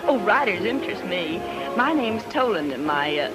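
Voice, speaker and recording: Actress as sly femme fatale over loud music. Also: female voice, mid-range voice, sing-song, normal speed, natural voice. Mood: flirtatious. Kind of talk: old-time radio noir acting. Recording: old radio recording, loud music.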